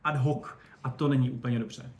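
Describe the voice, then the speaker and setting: A man giving animated, colloquial, digressive explanations as an expert guest in a casual interview. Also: medium pitch. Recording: roomy echo, faint background noise.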